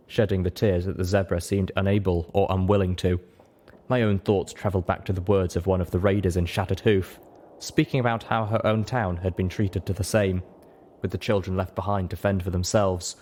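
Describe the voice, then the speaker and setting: A man's voice: low-pitched, a little mellow. A man reading scripted first-person story narration as an audiobook narrator.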